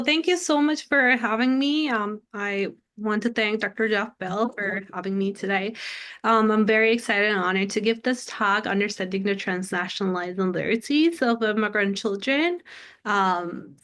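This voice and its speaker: A woman's voice: medium pitch, moderate pace, a bit bright. Woman, 30s, from South Korea, native Korean speaker, academic lecture, video call mic, earnest, many ums. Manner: earnest, frequent "um" fillers. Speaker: guest lecturer.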